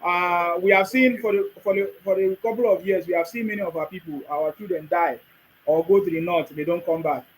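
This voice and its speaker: High-voiced emphatic man. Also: brisk, slight background noise, poor audio. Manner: emphatic, agreeing. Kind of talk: casual debate.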